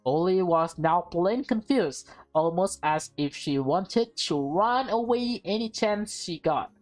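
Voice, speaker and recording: A woman's voice: fairly low pitch, expressive, slow, darkish tone, soft background music. A woman reading a visual novel aloud with casual reactions, stumbling over lines and rereading them. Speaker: game player and streamer.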